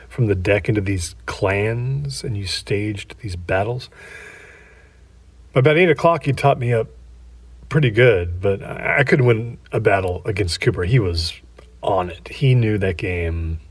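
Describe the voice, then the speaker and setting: An actor playing a middle-aged American man who recalls his youth candidly, in a halting, conversational, self-deprecating way, as if interviewed for an audio drama. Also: fairly low pitch, slightly rough, no room sound. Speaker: interview subject. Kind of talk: naturalistic audio drama acting as interview reminiscence.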